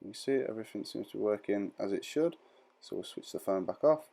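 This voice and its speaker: Low, creaky-voiced man giving instructions. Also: lively intonation, fairly quick, mellow. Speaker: repair tutorial presenter. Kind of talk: informal step-by-step instructional voice-over.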